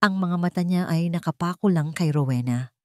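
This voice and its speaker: A Filipino woman narrates an audiobook in a fairly low voice. Her delivery is scripted, dramatic first-person storytelling. The sound is dry, with very little room. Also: normal speed, no echo.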